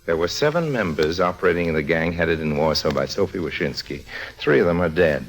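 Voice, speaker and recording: A man's voice: low-pitched, expressive, a little fast. An actor playing a wily, wisecracking con man in a 1950s radio drama, with a breezy, casual manner and sly asides. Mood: cagey, reporting. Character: wily wisecracking con-man and narrator of his own misadventures.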